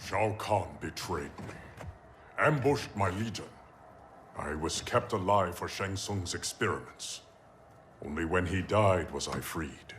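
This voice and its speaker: An actor playing a proud, stern warrior emperor with a formal, solemn delivery in a video game cutscene. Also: male voice, deep voice, expressive, measured pace, fairly bright tone, a little hiss or hum. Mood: grave. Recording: dramatic music behind the voice.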